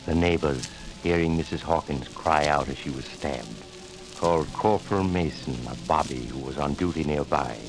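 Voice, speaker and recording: An actor playing an eerie doctor who narrates grim tales, measured and ominous, in 1940s radio narration style. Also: male voice, a bass voice, low-quality recording. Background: music.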